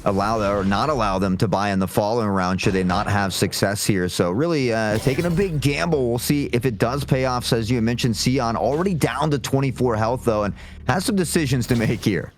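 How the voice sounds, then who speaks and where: A man's voice: mid-range voice, fairly quick. A man giving fluent, wordy live analysis as an esports commentator, with playful turns of phrase. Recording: faint game noise and clicks.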